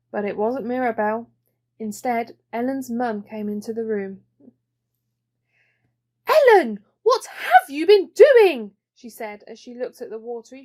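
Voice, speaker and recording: A woman's voice: on the high side, warm tone. A woman reading a children's story as an audiobook narrator.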